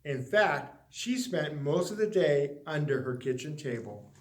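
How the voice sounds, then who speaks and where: A man with a high voice narrates a children's storybook in an echoey room. He reads expressively, stretching out key words for dramatic emphasis. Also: expressive, unhurried. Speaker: story reader.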